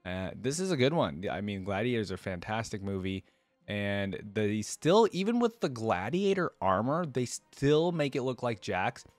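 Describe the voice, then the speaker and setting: A man with a fairly low voice hosts a casual reaction video. He talks conversationally to his viewers, working out his opinions as he goes. The sound is very dry, with faint music underneath. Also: big pitch swings, normal speed, no echo. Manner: conversational, addresses viewers, thinks aloud. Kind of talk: casual commentary, reacting to game music and artwork.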